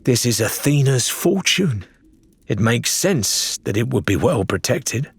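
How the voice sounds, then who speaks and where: A man voicing a capable, sardonic adventuring woman in an audiobook, measured and dry in manner. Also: mid-range voice, sing-song, creaky, breathy edge, dry recording, full character voice. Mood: serious.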